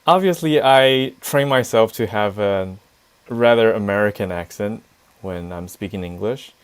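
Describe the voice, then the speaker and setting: Man, Taiwanese accent, fairly deep voice, relaxed co-host, thoughtful, casual English chat. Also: unhurried, smooth.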